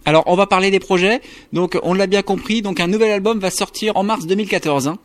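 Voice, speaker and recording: Man, from france, radio host, formal questions, occasional fillers, fairly high voice. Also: quick pace. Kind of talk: radio interview.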